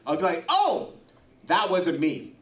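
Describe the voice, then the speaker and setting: A man from Taiwan, a native Mandarin speaker, doing a stand-up set on a club stage into a handheld mic, delivering punchlines in an animated way. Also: a little high, measured pace. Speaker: stand-up comedian. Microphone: handheld mic, far camera. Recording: roomy club sound from far off. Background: audience laughter.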